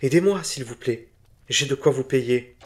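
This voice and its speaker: A man playing a nervous, excitable antique dealer who pleads and blurts in a husky voice. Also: medium pitch, unhurried, voice pushed up, close to an everyday voice. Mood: eager.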